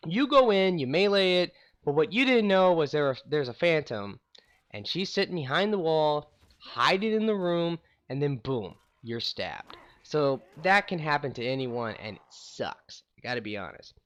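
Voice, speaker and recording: Lively young man telling stories. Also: fairly high pitch, moderate pace, muffled recording. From St. Louis, USA. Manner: rambling, conversational, many fillers. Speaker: gaming YouTuber. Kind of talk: casual storytelling to camera.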